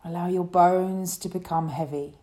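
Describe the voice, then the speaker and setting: A woman leading a guided relaxation meditation as a yoga teacher, speaking in a soothing, gentle way. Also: fairly low pitch, steady tone. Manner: soothing, gentle, unhurried instruction.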